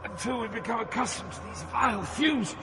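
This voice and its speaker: A man's voice: very high-pitched, expressive, fairly quick, background noise. An actor playing a stern ghostly poet guide through Hell in a dramatic audio drama, grave and declamatory as he instructs. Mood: solemn, lecturing. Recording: long cavernous reverb, music underneath.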